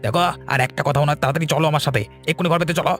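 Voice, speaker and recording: Agitated cartoon son male actor: fairly high voice, rapid, curt, commanding delivery. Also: steady tone, fairly bright tone, faint music behind, lightly acted. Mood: stern, urgent. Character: agitated bossy grown son, fearful and stern. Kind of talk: cartoon voice acting.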